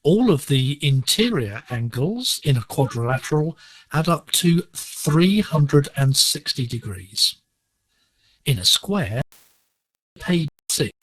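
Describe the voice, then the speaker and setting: A man presenting a scripted educational lesson on shortwave digital radio, explaining in a measured, deliberate way. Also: a little high, big pitch swings, fairly bright tone, husky, poor audio. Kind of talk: scripted instructional narration.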